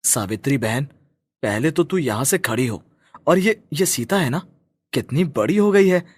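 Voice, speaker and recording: Casting: kind-hearted family man played by a male actor, mid-pitched, insistent, highly expressive, moral storybook drama. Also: a little slow, breathy edge, dry recording. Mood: shocked concern. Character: kind-hearted working husband, caring brotherly family friend.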